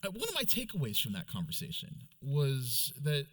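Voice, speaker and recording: A middle-aged American man interviewing a guest on stage, easing into his questions in a halting, roundabout way. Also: medium pitch, wildly swooping pitch, a bit bright. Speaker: interviewer, reporter. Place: on stage before an event audience.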